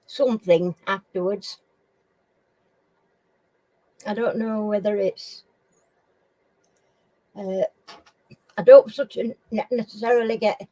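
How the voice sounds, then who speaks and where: A middle-aged woman from Sheffield with a Sheffield accent, hosting a casual live chat with viewers in a slow, homely way and often clearing her throat. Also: mid-range voice, a little mellow, dry recording, rough recording. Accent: Sheffield English. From Sheffield, England. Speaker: live stream host.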